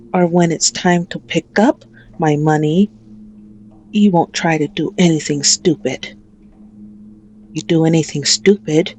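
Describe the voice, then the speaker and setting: A woman's voice: sits low, mellow, a little hiss or hum. A woman playing a possessive, manipulative female mafia boss in an ASMR audio roleplay, menacing and taunting with a mock-tender manner.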